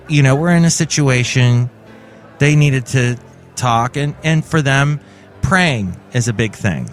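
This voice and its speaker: A man's voice: mid-range voice, fairly bright tone. A man from California hosting his own podcast in a casual, rambling monologue full of jokey asides. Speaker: podcast host.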